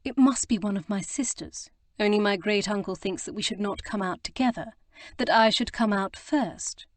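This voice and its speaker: Woman voicing a flustered young gentlewoman. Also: mid-range voice, moderate pace, no echo, close to an everyday voice. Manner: breathless, stammering, eager to explain. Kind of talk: audiobook character reading.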